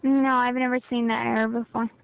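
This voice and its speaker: A woman's voice: fairly high pitch, level tone, fairly quick, gravelly. A polite, patient woman working as a customer support agent, speaking over a telephone line. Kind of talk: customer service phone call. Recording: dull, band-limited phone line.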